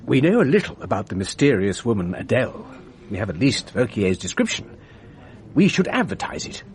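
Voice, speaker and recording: A man acting in a radio drama as a precise, earnest, rather pompous gentleman amateur sleuth who speaks formally and methodically. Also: mid-range voice, sing-song, fairly quick, lightly acted. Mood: eager.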